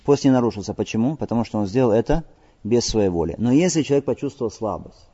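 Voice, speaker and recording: A man's voice: mid-range voice, expressive, fast, dark tone, husky. A man teaching religious law in a lecture, explaining texts and asking his listeners rhetorical check-in questions, with passages recited in Arabic. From Crimea. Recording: dull lo-fi audio with long hall reverb.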